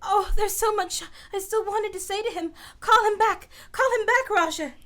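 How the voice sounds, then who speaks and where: A man voicing a grieving, excitable widow in a shrill, pleading falsetto. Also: moderate pace.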